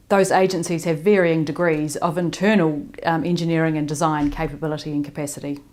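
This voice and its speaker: A woman with a New Zealand accent delivers a prepared conference talk from a distance. Her voice sits low, and she paces herself slowly and deliberately. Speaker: conference speaker. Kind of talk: prepared presentation read by remote video. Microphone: recorded remotely, not in person.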